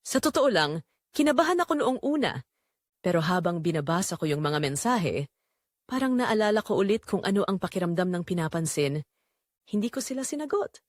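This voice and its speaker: A woman with a Filipino accent playing a quiet, neglected wife who confides her hidden longing in a dramatic first-person audio story. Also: on the low side, big pitch swings, brisk, no room sound, clean studio sound. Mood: confessional.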